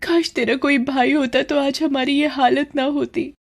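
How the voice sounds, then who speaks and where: A woman's voice: high-pitched, little pitch movement, measured pace, gravelly, voice pushed up. A woman voicing a sick, bedridden, sorrowful mother in a story, in a plaintive manner. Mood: guilty, sorrowful.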